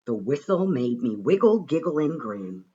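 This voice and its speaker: A man reading a rhyming children's storybook aloud with a rhythmic, expressive and deliberate delivery. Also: medium pitch, husky, highs cut off. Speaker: reader of a children's picture book.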